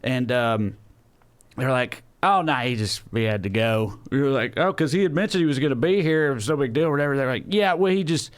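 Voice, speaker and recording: A man's voice: medium pitch, animated, a little fast, clear, resonant tone. A man with a mid-range voice. He talks like a comedian hosting a podcast, casually spinning stories. His sentences ramble and loop back, with some offhand swearing. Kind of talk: casual comic storytelling.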